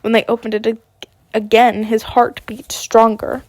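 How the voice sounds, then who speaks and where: A woman's voice: fairly high pitch, level tone, moderate pace, darkish tone, no echo. A sick woman voicing a wry, mocking male dwarf noble in an amateur audiobook, with a dry delivery.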